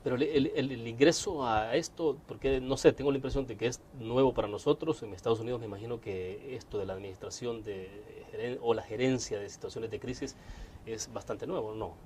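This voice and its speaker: A man's voice: medium pitch, fairly quick, darkish tone, slight background noise. A man, hosting an interview in a conversational, roundabout way. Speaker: interview host.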